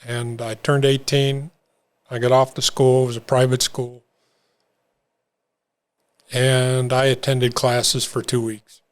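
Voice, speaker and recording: An older man telling his own recovery story in an interview, talking haltingly with many fillers and restarts. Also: mid-range voice, flat delivery. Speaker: interview guest. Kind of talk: reflective personal story.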